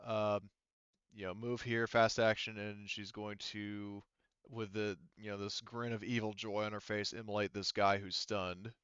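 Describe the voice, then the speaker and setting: A man's voice: lowish voice, little pitch movement, measured pace, fairly bright tone, clear, resonant tone, no echo. A man speaking casually and profanely as game master of a tabletop role-playing game, in slightly muffled audio.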